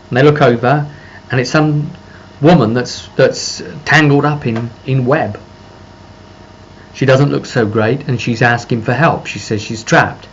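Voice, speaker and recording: A man gives an informal, rambling spoken recap of a tabletop game session as its game master, often repeating himself and trailing off. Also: medium pitch. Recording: dull lo-fi mic with faint background noise.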